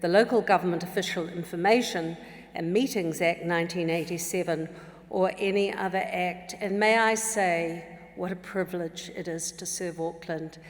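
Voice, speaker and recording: A woman councillor reciting her oath of office clearly and deliberately in a large council chamber. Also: fairly low pitch, steady tone. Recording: long hall reverb.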